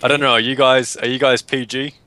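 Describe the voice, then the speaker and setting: Bright-voiced Australian teen gamer. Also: male voice, mid-range voice, little pitch movement, a little fast, clear, resonant tone. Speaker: player. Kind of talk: casual gaming chat.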